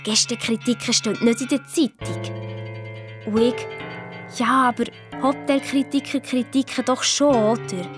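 A woman's voice: a little high, lightly acted. A woman playing a young Swiss girl detective with a Swiss German accent, telling a story colloquially in a children's radio play. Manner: colloquial, excited retelling.

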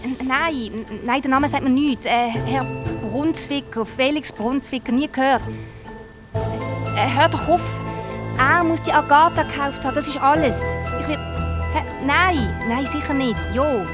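A stubborn woman violinist in a radio play, with a Swiss accent and a highish voice. She sounds impulsive and insistent, in a natural style. Music plays clearly behind her. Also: measured pace. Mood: evasive.

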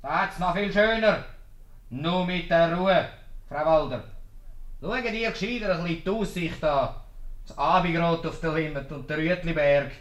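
An actor playing a bossy jail bailiff with a Swiss German accent in a 1950s radio comedy, gruff and dryly mocking. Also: male voice, on the high side, normal speed, lo-fi sound, natural voice. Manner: gruff ordering, dry mockery.

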